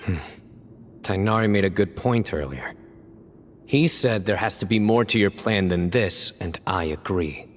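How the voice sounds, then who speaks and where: A man playing a stern young fantasy game hero, serious and measured in how he talks. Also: deep voice, slight background noise. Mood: grave, reasoning.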